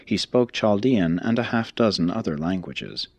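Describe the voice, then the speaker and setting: A man reading a religious text aloud as scripted narration, in a formal, measured, expository manner. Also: sits low, animated, darkish tone, husky, no room sound. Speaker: audiobook reader.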